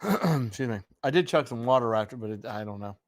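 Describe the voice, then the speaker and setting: A man co-hosting a livestream with casual, jokey banter, his voice raspy and hoarse from talking all day. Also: mid-range voice, expressive, a little fast, no room sound, studio quality. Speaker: livestream co-host.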